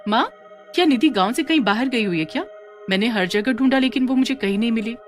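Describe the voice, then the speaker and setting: Woman acting a plaintive, high-voiced young woman. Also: animated, fast talker, soft background music, close to an everyday voice. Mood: worried. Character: tender young village woman, anxious for her friend. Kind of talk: cartoon story character voice.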